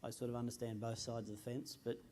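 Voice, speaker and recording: A man's voice: medium pitch, level tone, fast, mellow, slight background noise. A man on an energy panel, explaining his points steadily. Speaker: panelist, energy industry adviser. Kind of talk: panel answer. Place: conference room.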